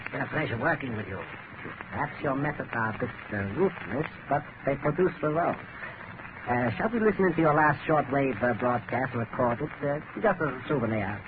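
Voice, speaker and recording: A man's voice: mid-range voice, animated, brisk, close to an everyday voice. An actor playing a polite, cautious henchman with a hesitant, genteel manner in a 1930s radio drama. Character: polite, nervous male henchman, cautious accomplice. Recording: noisy, tinny 1930s radio recording.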